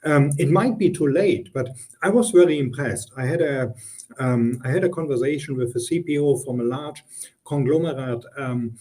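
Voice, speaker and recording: A man's voice: medium pitch, normal speed, dark tone. A middle-aged man from Germany with a German accent, explaining business topics earnestly in an interview, with frequent ums and restarts. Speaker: guest consultant.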